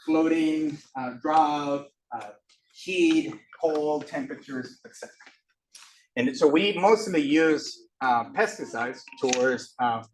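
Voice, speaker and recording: Professor lecturing in an echoey hall. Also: male voice, a little high, a little hiss or hum. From Costa Rica. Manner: halting, many fillers and restarts. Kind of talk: classroom lecture. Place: lecture room. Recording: echoey room from far off.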